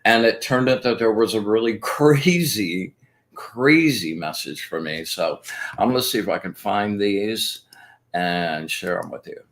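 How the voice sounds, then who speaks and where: A man with a very low voice hosts a loose, chatty spiritual show. He rambles with plenty of fillers and restarts and gets excited as he explains his practice step by step. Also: a little slow. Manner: rambling and enthusiastic, many fillers. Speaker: host. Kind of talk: casual spiritual talk.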